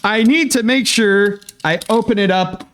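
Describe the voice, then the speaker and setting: A young Canadian man hosting his channel, chatting through fan mail in a casual, excitable way, with lots of fillers and restarts. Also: high voice, a little slow. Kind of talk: casual unboxing chat.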